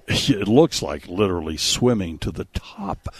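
A man's voice: low voice, very animated, measured pace, a crowd in the background. A man hosting a live radio talk show, chatting and promoting in an effusive, easily amazed way on a studio mic. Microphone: close studio radio microphone.

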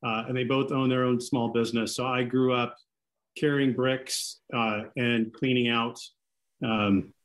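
A man in his forties speaking easily as an interview guest, Greek his first language though his English is fluent. He talks in a relaxed, halting way, stopping to restart his sentences, at a mid pitch. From Seattle. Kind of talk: casual podcast interview.

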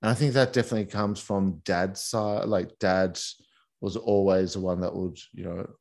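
A man's voice: deep voice, steady tone, normal speed, darkish tone. A man, a guest in an interview, talking casually and rambling with many fillers and restarts. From Australia. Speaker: guest, massage teacher for couples.

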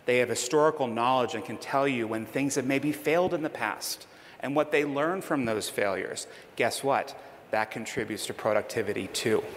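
A man at a conference lectern, pressing his points home with emphatic, persuasive conviction. His voice sits at an ordinary middle pitch and carries a long hall reverb, with a faint hum of noise behind it. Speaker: conference presenter. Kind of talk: presentation and audience Q&A.

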